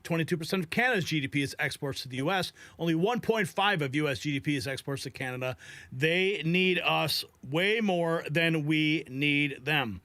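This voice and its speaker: Male political commentator, sharp and bright, fairly high voice, opinionated live delivery. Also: normal speed, dry recording. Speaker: political news commentary host.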